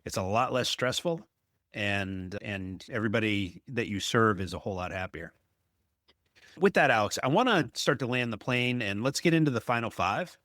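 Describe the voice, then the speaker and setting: Low-voiced man hosting a business interview. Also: lively intonation, moderate pace, fairly bright tone, dry recording, studio quality. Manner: emphatic, anecdotal, frequent rhetorical "right?". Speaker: podcast host, apartment investor.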